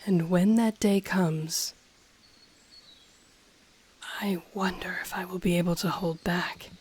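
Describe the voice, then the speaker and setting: A woman voicing an ancient female dragon in an intimate audio roleplay, breathy and often whispering, haughty yet tender, with teasing, seductive, formally worded speech. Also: fairly low pitch, fairly even intonation, darkish tone, a little hiss or hum, close to an everyday voice. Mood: possessive, tender. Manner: slow, teasing, formal archaic phrasing.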